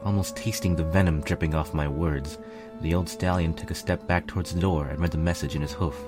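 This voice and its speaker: A man's voice: deep voice, fairly even intonation, brisk, music in the background. A man reading a piece of fiction aloud as a scripted narration. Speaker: fan fiction reader, narrator.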